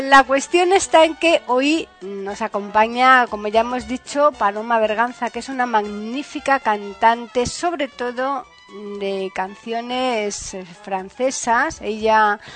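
A woman co-hosting a radio show, introducing the guest in a measured, lightly playful way. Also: a little high, a bit bright. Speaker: radio co-host.

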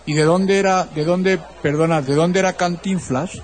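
Man from Spain, slightly high voice, curious questioner. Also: fairly even intonation, moderate pace. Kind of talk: casual group conversation.

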